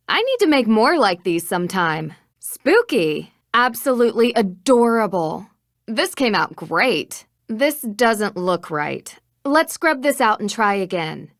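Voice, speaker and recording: An actress playing a kind, level-headed young woman detective in a video game, calm and encouraging as she thinks aloud. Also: mid-range voice, takes it slowly, no room sound, studio quality. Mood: cheerful musing.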